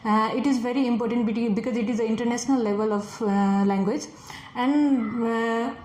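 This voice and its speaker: A woman's voice: on the high side, steady tone, normal speed, a little mellow. A woman in her twenties with a Nepali accent, a native Nepali speaker, answering exam questions hesitantly with many fillers. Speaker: test candidate, forest officer. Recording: echoey room with faint noise.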